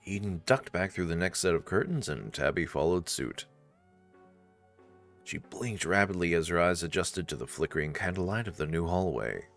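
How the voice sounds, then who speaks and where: A man's voice: deep voice, animated, normal speed, vocal fry, fairly bright tone, soft background music. A man narrating a visual novel playthrough expressively, with casual asides.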